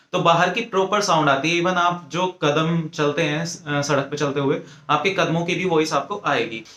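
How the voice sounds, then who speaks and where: A man's voice: a little high, a bit bright. A man with an Indian accent giving an informal, methodical product review, explaining point by point and mixing in English phrases. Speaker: tech reviewer presenting an earphone review. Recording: echoey, roomy sound.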